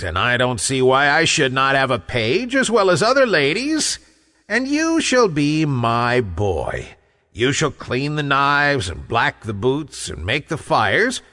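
An overworked, domineering storybook giantess, acted out by a man. The delivery is loud and theatrical, slow, with pitch that swings widely. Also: big-room sound, exaggerated voice. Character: overworked, bossy giantess, loud scolding wife. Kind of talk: storybook character voice.

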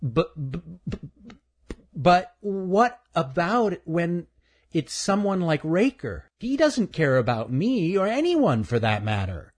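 A man's voice: on the high side, very animated, slow pace, breathy edge, pitched above the performer's own voice, heavily acted. A man voicing a shy young male woodland animal who stutters on his first sounds. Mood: stammering, timid.

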